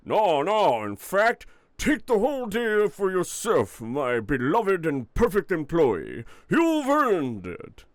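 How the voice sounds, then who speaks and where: A man's voice: high-pitched, huge pitch swings, no echo, clean studio sound, heavily acted. A man playing a charming, cocky young mafia boss in a romantic audio roleplay, teasing and playful as he talks. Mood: mock-conversational, playful. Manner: playful, teasing, smooth-talking with drawn-out words.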